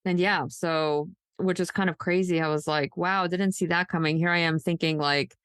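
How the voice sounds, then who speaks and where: A woman's voice: fairly low pitch, steady tone, normal speed, fairly bright tone, clean tone, no echo, studio quality. A woman hosting a true crime podcast in a casual, loosely unscripted, conversational way. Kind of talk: casual co-hosted podcast chat.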